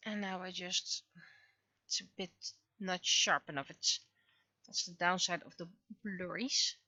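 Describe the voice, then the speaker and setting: A woman's voice: lowish voice, steady tone, a little slow, bright, forceful tone, highs cut off. A woman livestreamer muttering short, casual remarks to herself as she works on digital art. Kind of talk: casual livestream commentary, thinking aloud while drawing.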